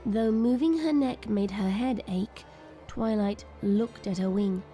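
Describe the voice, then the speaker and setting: A woman's voice: mid-range voice, unhurried, warm tone, soft background music. A woman narrator reading a scripted, dramatic story.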